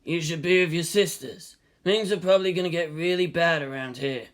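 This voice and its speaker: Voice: medium pitch, fairly quick, lightly acted. A narrator voicing a protective teenage boy, earnest and plain-spoken, in an audio story reading. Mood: concerned. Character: protective, gruff-hearted teenage boy soldier.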